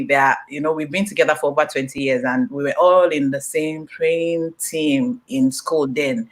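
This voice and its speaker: A woman whose first language is Yoruba, answering questions as an author at a book launch, animated and laughing, with many fillers. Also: sits low. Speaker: author being interviewed.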